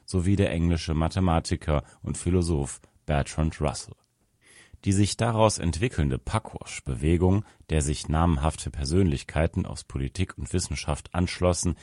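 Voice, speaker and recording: A man reading a scripted article aloud as narrator, in a measured, formal manner. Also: a bass voice, a little mellow, no room sound, clean studio sound.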